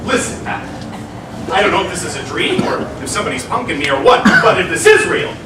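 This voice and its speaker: A man playing an earnest, excitable young truth-seeker in a stage musical, with theatrical expression. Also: high voice, very slow, heavy background noise, full character voice. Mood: amazed, excited. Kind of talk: stage musical singing and dialogue. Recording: very echoey distant stage, loud music.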